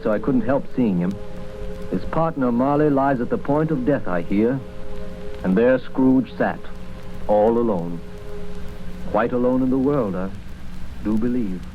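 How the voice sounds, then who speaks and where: A plain-spoken, kindly husband played by a man in a vintage radio drama. The voice is medium-pitched and conversational. Clear music plays behind on a dull old recording. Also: normal speed, long pauses, close to an everyday voice. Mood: musing. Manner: conversational storytelling. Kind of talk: 1930s radio drama acting.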